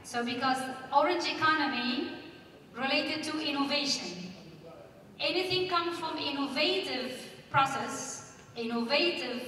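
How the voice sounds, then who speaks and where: A woman's voice: high voice, a little hiss or hum. A woman speaking on a conference panel stage into a handheld mic, putting questions to the audience. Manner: asks the audience questions, lively. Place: large conference hall stage. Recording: echoey hall from far off.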